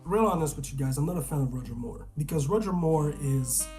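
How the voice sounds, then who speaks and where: A man's voice: fairly high pitch, lively intonation, fairly quick, a little mellow, soft background music. A man from Canada, a guest reviewer in casual film chat, talking animatedly, often restarting sentences and swearing.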